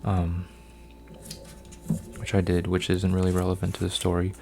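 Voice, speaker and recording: A man in his twenties, an ASMR creator, rambling softly with lots of fillers and restarts while folding paper, sometimes dropping to a whisper. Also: low voice, completely flat delivery, normal speed, vocal fry, background noise. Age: 20s. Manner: meandering, full of fillers and restarts. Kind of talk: soft-spoken rambling ASMR.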